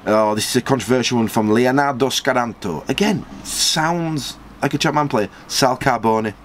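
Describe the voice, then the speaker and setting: A man, casually commenting on a football management game and reading out viewer comments in a small storeroom. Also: slight background noise. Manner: chatty, rambling, quick jumps in pitch when surprised. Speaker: gaming channel host.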